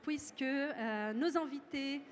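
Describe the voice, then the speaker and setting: A woman moderating a public debate, a native Portuguese speaker who reads her prepared remarks in a measured way. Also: high-pitched. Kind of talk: formal event moderation. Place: lecture hall with audience. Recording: reverberant hall, faint room noise.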